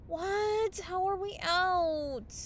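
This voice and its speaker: A woman's voice: extremely high pitch, drawn-out words, slight background noise, full character voice. A woman playing a sarcastic, exasperated young fairy in a mockumentary-style comedy audio drama, with dramatic, sardonic delivery. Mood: groggy, exasperated.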